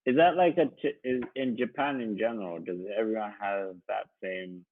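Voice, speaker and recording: A man's voice: lowish voice, moderate pace, a little hiss or hum. A man tutoring English in a casual one-to-one online lesson, explaining and encouraging, heard over a narrowband call.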